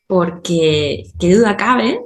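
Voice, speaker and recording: A woman's voice: on the low side, animated, takes it slowly. Woman explaining on a podcast, echoey room. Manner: explains step by step, often checks in with "¿vale?". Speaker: podcast host and teacher.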